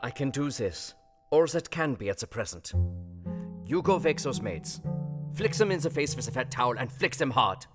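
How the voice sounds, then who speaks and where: An actor playing a stern older professor in an audio drama, grave and formal, with urgent emphasis. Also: male voice, medium pitch, big pitch swings, normal speed, over music, natural voice. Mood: urgent, commanding. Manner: formal, emphatic, old-fashioned phrasing.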